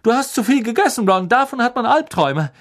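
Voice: medium pitch, very animated, fast talker, voice pushed up, close to an everyday voice. A reader voicing a pompous teenage head boy in a storybook, bossy and self-important. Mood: officious.

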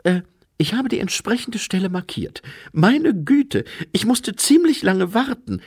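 A man's voice: high voice, huge pitch swings, slow, gravelly, voice pushed up, exaggerated voice. A man voicing a plaintive, flustered and pedantic angel in an audiobook, whiny and hesitant.

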